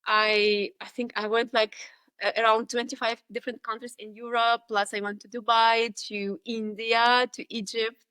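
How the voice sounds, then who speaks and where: A woman's voice: a little high, flat delivery, moderate pace, pushed and bright, clear, resonant tone, no room sound. A woman from Russia in her thirties with a Russian accent, reflecting as a podcast guest, with many fillers and restarts. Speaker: guest, dance instructor. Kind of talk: podcast interview.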